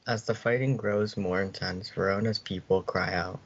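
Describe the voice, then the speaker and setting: A male narrator reads stage action in a very deep voice. The student play narration is scripted and sounds muffled. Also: steady tone, normal speed, breathy edge. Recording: dull, muffled lo-fi audio.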